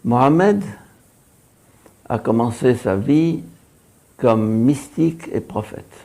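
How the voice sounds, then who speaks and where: A man narrating a documentary in a slow, measured way. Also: medium pitch, vocal fry, dark tone.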